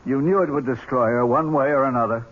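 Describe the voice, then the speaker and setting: An actor playing a stern, unforgiving, ominous accuser with a husky voice in a 1970s radio drama. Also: male voice, medium pitch, fast talker, natural voice. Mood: accusing. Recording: muffled old radio recording.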